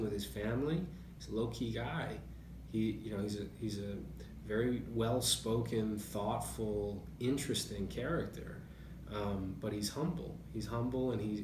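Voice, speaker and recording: A man speaking as an interview guest in a thoughtful, measured, anecdotal way, telling stories conversationally about sport. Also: on the low side. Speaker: interview guest, boxing writer. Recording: roomy, distant mic, noticeable background noise.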